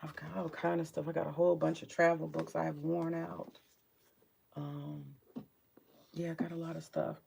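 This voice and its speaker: A woman with a deep morning voice chatting casually about her books, rambling, swearing freely and muttering to herself as she searches her shelves. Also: level tone, normal speed, vocal fry, pauses often, darkish tone, slight background noise. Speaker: vlogger sharing her book collection. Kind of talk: casual home vlog chat.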